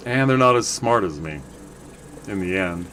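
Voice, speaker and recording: Rambling low-voiced man streaming a game. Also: animated, moderate pace, faint music behind. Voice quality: loud voice. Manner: rambling, self-correcting, chatty asides to viewers. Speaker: livestream game player. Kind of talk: casual gameplay commentary.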